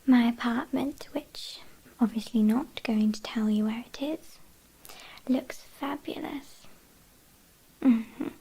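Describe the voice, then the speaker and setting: A British woman playing a haughty, condescending vampire kidnapper in a breathy, whispered ASMR roleplay, with a dryly teasing manner. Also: fairly high pitch, mellow, full character voice, low-quality recording. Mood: smug, mocking. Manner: slow, disdainful, dryly teasing.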